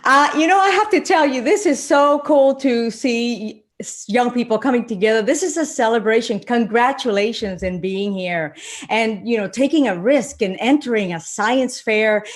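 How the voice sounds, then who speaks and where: A middle-aged woman delivers a keynote. She is animated and playful, mixing jokes into encouragement and speaking directly to her listeners. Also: on the high side, moderate pace. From Puerto Rico. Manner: energetic, animated, addresses the audience directly. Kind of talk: motivational speech with jokes.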